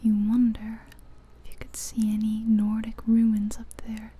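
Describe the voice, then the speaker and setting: A woman softly narrating an ASMR guided visualization in a breathy, whispery, soothing voice, close to the microphone, with gentle pauses. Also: on the high side, monotone, dry recording. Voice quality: breathy, whispery soft-spoken voice. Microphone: close ear-to-ear ASMR microphone.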